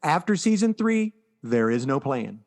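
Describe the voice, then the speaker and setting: A man's voice: on the high side, sing-song, unhurried, a little mellow. An American man co-hosting a podcast, chatting casually and with enthusiasm about pop culture. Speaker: podcast co-host.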